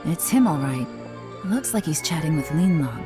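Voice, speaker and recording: A woman's voice: low-pitched, expressive, normal speed. An actress playing a cool, commanding female intelligence officer in a video game, speaking in a composed, dry manner. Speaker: investigator character. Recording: game music bed under the voice.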